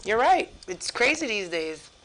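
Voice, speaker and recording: A woman's voice: on the high side, sing-song, measured pace, a bit bright, low-quality recording. A woman chatting casually as a host, colloquial and often interjecting short remarks. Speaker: talk show host. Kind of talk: casual chat.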